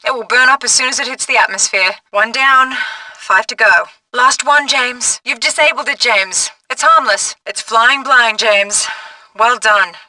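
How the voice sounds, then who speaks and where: An actress playing a capable female agent who gives a spy brisk mission guidance in a video game. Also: on the high side, bright, forceful tone, no echo, recorded from a distance, low-quality recording. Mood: composed, businesslike.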